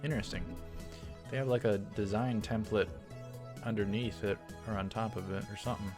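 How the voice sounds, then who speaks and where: A man with a fairly low voice narrates a visual novel as a let's play host. He mixes plain reading with casual asides. Soft music sits behind him. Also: steady tone, a little fast. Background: faint music.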